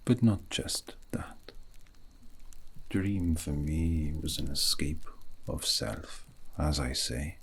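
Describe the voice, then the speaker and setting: Man acting as scholarly elf apostate, ASMR roleplay, husky near-whisper, extremely slow deliberate pacing, wistful, reflective. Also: very deep voice, heavily acted. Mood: pensive, melancholy. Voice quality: breathy, gravelly, often slipping into whisper. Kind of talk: ASMR roleplay monologue, soft half-whispered.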